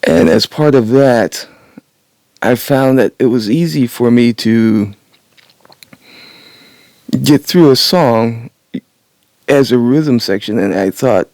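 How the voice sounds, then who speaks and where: A man in his sixties talking about learning to sing in his retirement, raspy and a little congested, halting with many pauses and small laughs. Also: mid-range voice, a little mellow, no room sound, clean studio sound. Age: 60s. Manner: halting, many uhs and restarts, laughs to himself. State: nasal congestion. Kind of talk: casual rambling monologue. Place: home, early morning.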